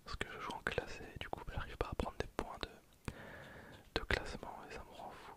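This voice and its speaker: A man whispering casual, breathy ASMR commentary over gameplay in an informal way. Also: fairly quick. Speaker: gaming video creator.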